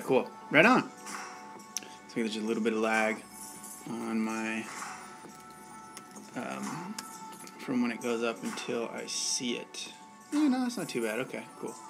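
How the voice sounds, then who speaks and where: A man's voice: medium pitch, fairly bright tone, low-quality recording. A young man hosting a live bartending stream, chatting casually and laid-back with viewers. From United States. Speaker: bartending channel host.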